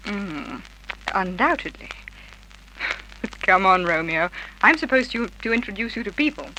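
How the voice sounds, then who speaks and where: An actress playing a pert, teasing young secretary, polite and playful, in an old radio drama. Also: female voice, medium pitch, very animated, measured pace, bright, forceful tone, low-quality recording. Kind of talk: 1950s radio drama acting. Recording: old radio recording, faint noise.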